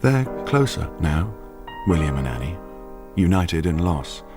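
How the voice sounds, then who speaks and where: The ominous narrator of a radio drama. His voice is deep and he speaks slowly and deliberately, with piano music prominent behind him. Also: sing-song. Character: measured, ominous storyteller narrator.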